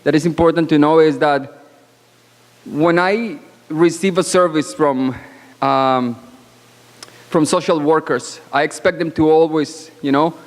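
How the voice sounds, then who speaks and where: A woman born abroad speaks as a panelist at a conference, with a loud voice and a frank, assertive, deliberate delivery broken by pauses, into a microphone in a banquet hall. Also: sits low, fairly even intonation. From born outside Canada. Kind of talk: conference speech. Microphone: microphone at podium. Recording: long hall reverb.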